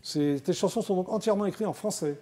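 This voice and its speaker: Fast, deep, husky TV host woman. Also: dark tone, soft background music. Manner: brisk, chatty questioning. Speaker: TV talk show host. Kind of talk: casual TV interview.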